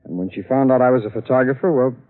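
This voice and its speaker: A man's voice: medium pitch, rapid-fire, close to an everyday voice. An actor playing a nervous, defensive male photographer who stammers through his story in 1950s radio drama style. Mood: nervous explaining. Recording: narrow, phone-like old radio broadcast.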